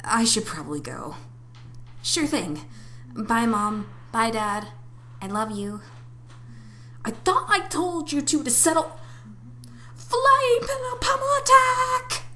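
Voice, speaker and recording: A spirited young cartoon echidna girl, acted by a woman in an amateur audition, babysitting as a guardian. She sounds perky and emphatic, bossy but doting. There is clear noise on the home recording. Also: high voice, expressive, takes it slowly, long pauses, sharp tone, voice pushed up, full character voice. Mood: scolding, excited.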